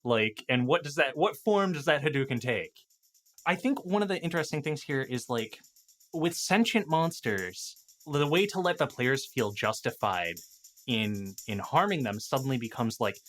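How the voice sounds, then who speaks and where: A man speaking as a guest in a casual games discussion, thoughtful and a little halting. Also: mid-range voice, animated, fairly bright tone, soft background music. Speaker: podcast guest, game designer and writer.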